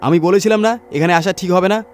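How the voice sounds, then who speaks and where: Horror-story scaredy friend, played by a man: young, raised pitch, alarmed, reluctant, complaining. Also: quick pace, faint music behind, lightly acted. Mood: panicked. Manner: alarmed protesting.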